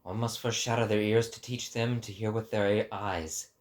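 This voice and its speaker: A man giving a dramatic scripted narration in a low, subdued register, measured and solemn. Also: steady tone. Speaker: voice actor, narrator.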